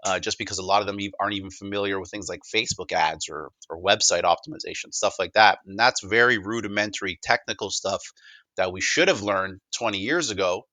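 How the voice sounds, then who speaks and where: A man's voice: deep voice, a little fast, bright, forceful tone, no room sound, low-quality recording. A Canadian man presenting a technology demo in a casual, conversational way, often stammering and repeating words as he goes.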